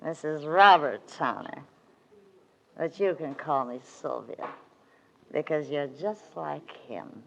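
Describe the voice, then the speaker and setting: A bitter, lonely young wife in an old radio melodrama. She drags her words out slowly, with wide, volatile swings of pitch. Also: animated, creaky, full character voice, poor audio. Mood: bitter taunting. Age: around 30. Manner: taunting, drawn-out, volatile. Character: bitter, lonely, overwrought wife of about thirty. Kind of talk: 1940s radio melodrama acting.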